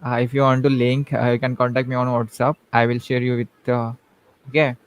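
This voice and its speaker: A man's voice: mid-range voice, flat delivery, a little fast, smooth. A man hosting a live-stream interview, reading out questions hesitantly with many fillers. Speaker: host.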